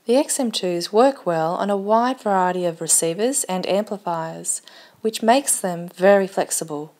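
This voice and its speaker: A woman's voice: mid-range voice, moderate pace, a little mellow. Woman narrating a product video.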